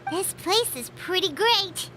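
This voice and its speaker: Casting: tiny excitable fairy sidekick for a game, very high childlike squeak, forceful and bright. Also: female voice, takes it slowly, a little hiss or hum, exaggerated voice. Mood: curious, chatty. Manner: exclamatory, refers to self in third person. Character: tiny excitable chirpy female fairy companion. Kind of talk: video game voice acting.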